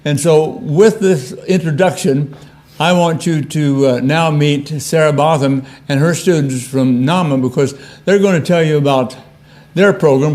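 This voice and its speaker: An older man, a retired professor, giving a halting, folksy seminar talk in a lecture room. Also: a little high, a little mellow. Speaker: professor emeritus.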